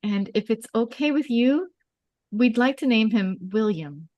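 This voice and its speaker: A woman's voice: on the high side, lively intonation, a little slow, dark tone, no room sound, pitched above the performer's own voice. A woman at a script table read playing a pregnant young daughter, earnest, tender and hopeful.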